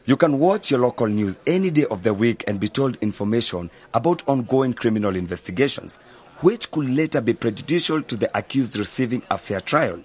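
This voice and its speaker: A man playing a knowledgeable, easygoing mentor in an educational radio drama, explaining things in a measured, articulate way. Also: mid-range voice, lively intonation, a little mellow, husky. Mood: serious, explanatory. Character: knowledgeable, easygoing male media mentor advising young friends.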